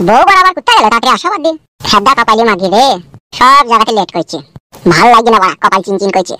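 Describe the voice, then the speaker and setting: A man's voice: extremely high pitch, expressive, racing. A man voicing an exasperated, henpecked young village husband in a comic cartoon, grumbling coarsely with a rural West Bengal accent. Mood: impatient grumbling. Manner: whiny, muttering, coarse.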